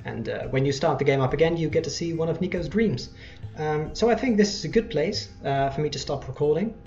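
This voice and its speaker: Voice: mid-range voice, darkish tone, lo-fi sound. A let's player giving casual, hesitant commentary on a video game. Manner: hesitant, halting. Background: game music.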